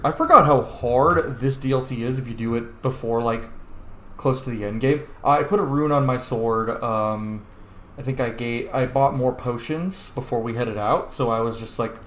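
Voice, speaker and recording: A man's voice: medium pitch, moderate pace. A man giving casual, informal, sometimes sweary commentary on a video game he is role-playing. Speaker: gaming streamer, role-player. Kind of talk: casual game playthrough commentary with in-character replies. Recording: roomy echo with faint background noise.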